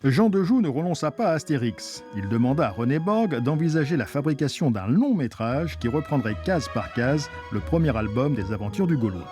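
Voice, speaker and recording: A male narrator reads a scripted documentary in a mid-pitched voice with very lively intonation. Music plays behind him. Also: moderate pace, few pauses.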